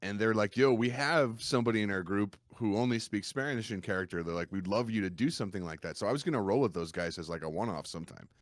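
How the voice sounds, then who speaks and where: A man with a fairly low voice chats in a loose, informal way, like a streamer talking with friends. The sound is close and dry, with very little room in it. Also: fast, hardly any pauses, no echo. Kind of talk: casual chat on a gaming livestream.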